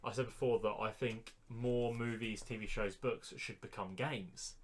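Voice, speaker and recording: A man's voice: medium pitch, fairly even intonation, a little slow, a crowd in the background. A man co-hosting a podcast, giving blunt, dismissive opinions in a casual debate. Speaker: podcast co-host.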